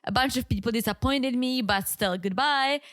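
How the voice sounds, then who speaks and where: A woman's voice: on the high side, normal speed, fairly bright tone, no echo, studio quality. A woman with a foreign accent co-hosting a reality TV podcast, chatty and giggly with blunt opinions. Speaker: podcast co-host. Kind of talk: casual chat.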